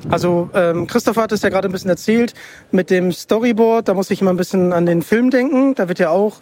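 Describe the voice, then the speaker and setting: A man's voice: high voice, quick pace, a little mellow. A man hosting a casual podcast interview. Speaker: podcast host. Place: book fair.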